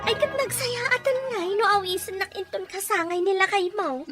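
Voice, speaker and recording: Casting: actress as envious young neighbour woman, high, animated, Ilocano accent, audio drama. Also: moderate pace, loud music behind the voice. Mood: delighted, friendly. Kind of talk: acted family drama dialogue.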